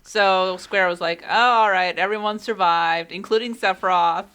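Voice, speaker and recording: A woman's voice: fairly low pitch, steady tone, normal speed, clean tone. A woman giving casual, playfully joking commentary on video game cutscenes as a critic and co-host. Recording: loud music behind the voice. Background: video game music.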